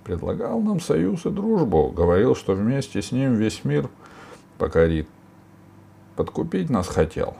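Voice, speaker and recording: A man's voice: mid-range voice, very animated, unhurried, gravelly, warm tone, slightly rough, pitched above the performer's own voice, exaggerated voice. A man voicing a sly storybook robber chieftain who sounds mocking and feigns innocence. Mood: feigned innocence.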